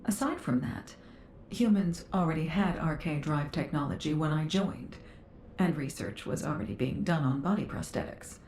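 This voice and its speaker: A woman playing a composed, earnest researcher in a video game, with a measured and formal delivery. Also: low voice, darkish tone, reverberant, a little hiss or hum. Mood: matter-of-fact. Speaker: voice actor. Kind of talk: dramatic game dialogue.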